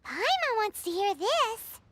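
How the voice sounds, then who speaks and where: A woman voicing a tiny, excitable fairy companion in a video game, with a squeaky, childlike voice. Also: takes it slowly, noisy background, exaggerated voice. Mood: curious. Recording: loud game music behind the voice.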